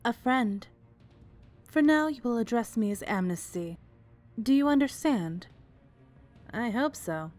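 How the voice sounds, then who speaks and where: A menacing female game villain acted by a woman, speaking slowly in a controlled, formal way. She sounds commanding and cold, over faint music. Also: on the high side, expressive, darkish tone. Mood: cold menace. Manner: measured, formal, controlled threat.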